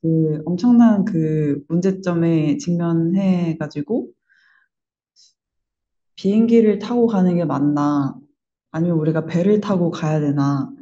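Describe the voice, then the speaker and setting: Woman, creative team interviewee. Dark, soft-edged tone, earnest, explanatory, reflective; recording a little muffled. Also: fairly low pitch, slow pace.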